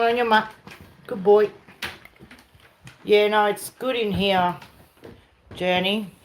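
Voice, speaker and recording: A middle-aged woman from South America giving a casual live stream tour of her rented home, chatting warmly to viewers and cooing at her dog. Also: mid-range voice. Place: rented house, indoors.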